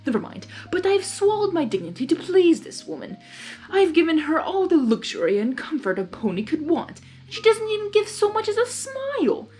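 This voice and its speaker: A woman's voice: extremely high pitch, sing-song, moderate pace, dark tone, soft background music, pitched above the performer's own voice. A woman voicing a petulant, self-important male chaos creature in a story, melodramatic and exasperated. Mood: frustrated, pleading.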